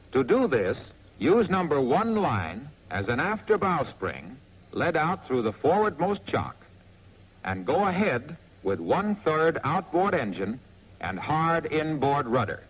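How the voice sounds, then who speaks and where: Lively, higher-pitched American 1940s film narrator, man. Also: slight background noise, poor audio. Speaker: narrator of a military training film. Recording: vintage 1940s film soundtrack.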